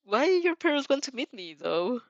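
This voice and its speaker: A woman's voice: high voice, very animated, moderate pace, dry recording, pitched above the performer's own voice. An uncertain, good-natured schoolboy as a woman reader plays him, awkward and full of hesitant questions. It is a fan fiction dub with a dry, slightly muffled sound. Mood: awkward, puzzled.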